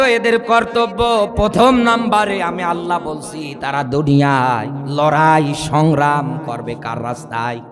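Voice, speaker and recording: A Bangladeshi man delivers an impassioned Islamic sermon in a high-pitched voice. He speaks as an orator, stacking repeated phrases and rhetorical questions and calling on listeners to answer. His words carry a long reverb, as if amplified in a large hall. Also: a bit bright. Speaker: Islamic preacher. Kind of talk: impassioned religious sermon to a gathering.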